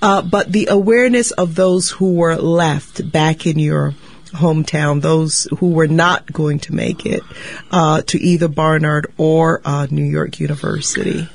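A man's voice: a little high, fairly even intonation, a little slow, vocal fry. A man from Barbados, interviewing a guest with long, thoughtful questions.

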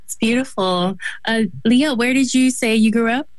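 An upbeat woman hosts a radio talk show in an encouraging, friendly way. Her voice sits at an ordinary pitch for a woman. The sound is close and dry, with almost no room around it. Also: normal speed, dry recording, poor audio. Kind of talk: hosting a group conversation.

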